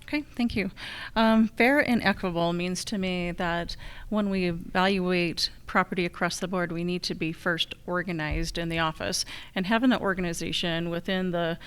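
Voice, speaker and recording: A woman's voice: fairly low pitch, fairly even intonation, normal speed, fairly bright tone, clean tone. A woman with an American accent giving answers as a candidate at a public forum. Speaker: county assessor candidate.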